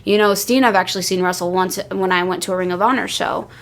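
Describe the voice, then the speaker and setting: A woman's voice: lowish voice, fairly even intonation, fast. A woman co-hosting a casual wrestling discussion podcast, enthusiastic and full of opinions. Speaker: podcast co-host.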